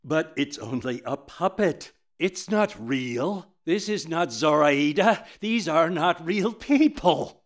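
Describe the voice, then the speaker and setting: Slow, theatrical showman. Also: fairly high pitch, lively intonation. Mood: dismayed. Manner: theatrical, deliberate. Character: grave showman puppeteer presenting his tale. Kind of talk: puppet film storytelling and dialogue.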